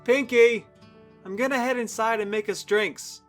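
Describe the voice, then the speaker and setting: A man's voice: very high voice, normal speed, loud music behind the voice. A man voicing an easygoing young male pony, a caring big brother in a story. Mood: friendly.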